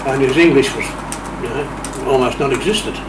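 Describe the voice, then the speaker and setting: A man's voice: medium pitch, moderate pace. A man telling anecdotes informally as an interview guest, recorded at a distance in an echoey room. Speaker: radio club interview guest. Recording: echoey room, distant mic, clear noise.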